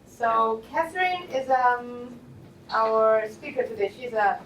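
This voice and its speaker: A woman introducing a guest speaker as seminar host. She pauses often and uses many fillers. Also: fairly high pitch, background noise. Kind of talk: formal introduction. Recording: roomy sound from far off.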